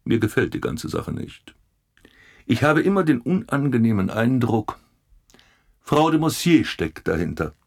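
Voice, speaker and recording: An actor playing a blunt, grumbling police commissioner with a sardonic, gossipy manner in a German radio play. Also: male voice, medium pitch, sing-song, measured pace, gravelly, long pauses, a little mellow, heavily acted. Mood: suspicious, confiding. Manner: sardonic, colourful.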